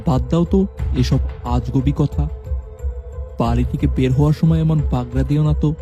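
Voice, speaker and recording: A man narrating a scripted first-person horror story in a steady, measured way. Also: mid-range voice, faint music behind.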